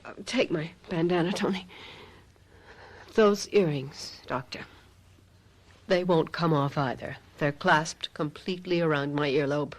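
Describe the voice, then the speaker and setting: An actress playing a desperate, sharp-tongued middle-aged mother in a radio mystery drama, with urgent dramatic delivery. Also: sits low, measured pace, long pauses. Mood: desperate, wry.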